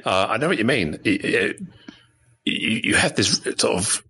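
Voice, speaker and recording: A man in his fifties with a very low, husky voice and a bright, forceful edge. He interviews warmly in manner, affirming the guest and tagging questions onto his remarks. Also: lively intonation, unhurried. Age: 50s. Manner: encouraging, affirming, rhetorical tag questions. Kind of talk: conversational interview.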